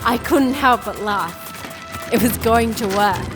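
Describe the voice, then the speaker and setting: A woman's voice: fairly high pitch, slow pace, over music. A woman playing a headstrong young princess in an audio drama, emphatic. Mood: dramatic narrating. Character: determined young princess ruler, stubborn and impatient. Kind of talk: full-cast audio drama acting and narration.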